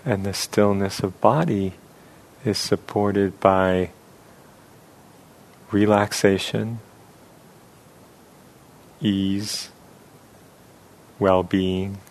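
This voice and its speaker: A man leading a guided meditation as a teacher, speaking softly and unhurriedly with long pauses. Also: lowish voice, fairly even intonation, rough recording.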